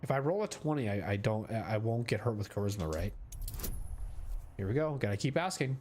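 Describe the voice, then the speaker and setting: A man's voice: lowish voice, lively intonation, brisk, darkish tone. Casual young male game streamer, rambling with lots of filler, desk mic, faint room hum. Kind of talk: casual gameplay commentary.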